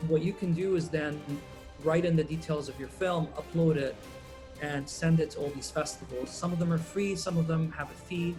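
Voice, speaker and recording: A man's voice: fairly high pitch, normal speed, over music. Laid-back man, filmmaker guest. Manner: relaxed, rambling, many ums and uhs. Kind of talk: casual interview answers.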